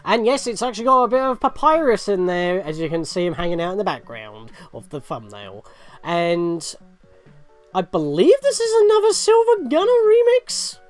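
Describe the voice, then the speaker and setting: A man's voice: high-pitched, big pitch swings, moderate pace, faint music behind. A man hosting a gaming video with casual, rambling let's play commentary and chatty asides.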